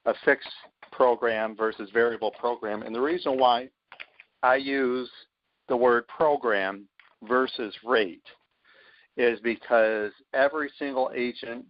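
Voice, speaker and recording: A man's voice: lowish voice, dry recording. A man speaking as a sales team leader on a conference call, confident and emphatic, punching his points. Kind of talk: motivational sales pitch on a call. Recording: dull lo-fi conference phone line.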